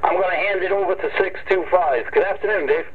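A man's voice: high voice, fast talker. A friendly, courteous man chatting casually as a CB radio operator. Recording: tinny radio sound, clear static.

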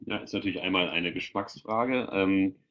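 Very deep-voiced middle-aged man, dull call audio. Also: normal speed, a bit bright. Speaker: guest expert answering parents' questions. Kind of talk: informal question-and-answer. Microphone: headset on a video call.

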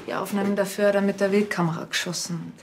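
An actress with a Bavarian accent playing a blunt, earthy widowed village butcher in a TV drama. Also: female voice, medium pitch, level tone, fast, noisy background, close to an everyday voice. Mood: matter-of-fact. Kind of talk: naturalistic TV drama acting.

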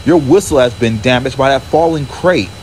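A man's voice: medium pitch, normal speed, darkish tone, a little hiss or hum, lightly acted. A man doing a weary, sighing train driver. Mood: resigned. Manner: sighing, resigned.

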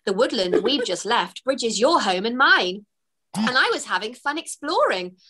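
A woman's voice: fairly high pitch, bright, forceful tone, exaggerated voice. A woman voicing a cheerful, friendly young boy elf who speaks reassuringly.

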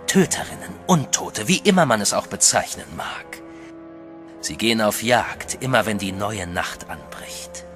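A man voicing a sinister, calm vampire master in a radio horror play, cold and measured, sometimes whispering, with faint music behind. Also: on the low side. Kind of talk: German radio horror drama.

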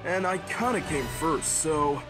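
A man's voice: fairly high pitch, lively intonation, unhurried. A man voicing a cocky, upbeat young hedgehog hero in a comic dub, breezy and teasing. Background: music.

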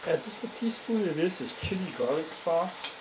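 Easygoing man cooking over loud frying. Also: a little high, lively intonation, moderate pace, noisy background. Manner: easygoing, explains step by step with fillers. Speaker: home cook demonstrating. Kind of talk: casual cooking demonstration. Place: home kitchen at the stove, indoors. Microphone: camera held by someone else a few feet away. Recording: roomy kitchen, loud frying behind.

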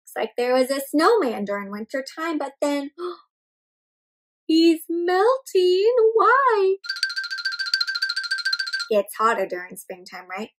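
A young woman reading a picture book aloud to young children in an animated, expressive way, often putting questions to the listeners. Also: high voice, slow, long pauses, faint music behind. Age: young adult. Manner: animated, questioning, addressing young viewers as friends; exaggerated expressive delivery with gasps and stretched words. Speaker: children's read-aloud storyteller. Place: indoor room with houseplants.

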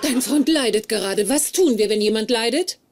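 A woman's voice: a little high, lively intonation, moderate pace, noisy background. An actress playing a motherly, pious, blunt middle-aged woman in a dubbed sitcom, folksy and matter-of-fact. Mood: motherly advice. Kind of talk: sitcom dialogue, German dub.

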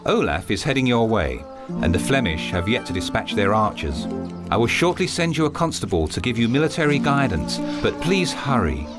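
An actor playing an urgent, commanding knight sending orders in a game cutscene. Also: male voice, medium pitch, very animated, normal speed, loud music behind the voice, lightly acted. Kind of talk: video game cutscene acting.

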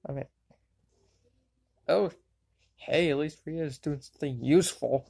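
A woman voicing a jokey, excitable young boy wizard in a cartoon fan dub, cracking wisecracks and yelping exclamations. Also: low-pitched, measured pace, vocal fry, breathy edge, heavily acted.

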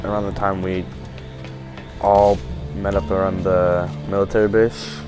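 A man playing an easygoing, earnest survivor in a zombie video game skit, talking in a halting, casual way. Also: sits low, little pitch movement, over music. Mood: unsure, earnest. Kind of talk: improvised roleplay acting in a video game skit.

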